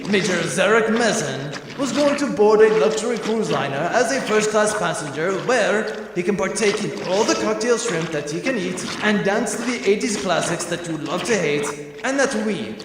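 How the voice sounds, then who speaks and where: A man's voice: high voice, expressive, normal speed, very echoey, big-room sound, background noise. Wry man narrating a comedy. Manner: wry, sarcastic.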